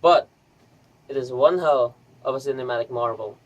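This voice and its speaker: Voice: medium pitch, normal speed, muffled recording, faint music behind. A vlogger giving an enthusiastic, scripted review in a chatty, emphatic way with rhetorical asides.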